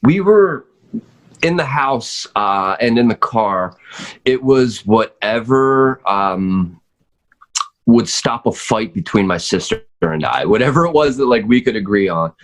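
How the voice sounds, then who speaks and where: A man's voice: lowish voice, lively intonation, unhurried. An American man in his forties chatting casually as a podcast guest, hesitant and easygoing as he reminisces. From North Wales, Pennsylvania, United States. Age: 40s. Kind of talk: casual chat.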